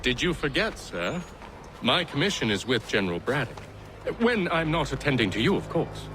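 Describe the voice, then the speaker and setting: An actor playing a loyal, brisk officer henchman in a video game, respectful and plainspoken. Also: mid-range voice, very animated, background noise, natural voice. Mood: brisk, wry.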